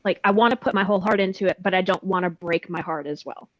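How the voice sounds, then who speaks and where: A woman's voice: lowish voice, fast talker, no echo. A woman chatting casually as a panelist among voice actors. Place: indoors on a video call.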